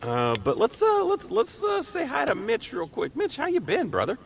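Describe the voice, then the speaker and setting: A man with a rough, hoarse voice, sick, co-hosting a casual interview with joking, friendly banter. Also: extremely high pitch, huge pitch swings, moderate pace, slight background noise. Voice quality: rough, raspy. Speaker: podcast co-host interviewing guests. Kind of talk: casual chat.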